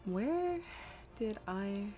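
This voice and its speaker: A woman's voice: mid-range voice, sing-song, drawn-out words, mellow, soft background music. A woman giving casual live commentary as she streams a video game, muttering to herself in an exasperated, self-deprecating way.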